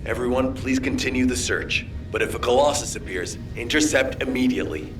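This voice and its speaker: An actor playing a serious, weary executive officer in a video game, speaking with terse authority. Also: male voice, medium pitch, fairly quick, background noise, close to an everyday voice. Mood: commanding. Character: grave, weary military executive officer under pressure. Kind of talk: video game dramatic acting.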